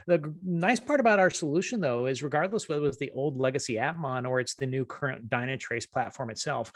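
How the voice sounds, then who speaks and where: Fast-talking Southern man presenting. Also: mid-range voice, dry recording. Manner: fast-talking, chatty, self-deprecating. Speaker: solutions architect presenting a webinar. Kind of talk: casual webinar presentation.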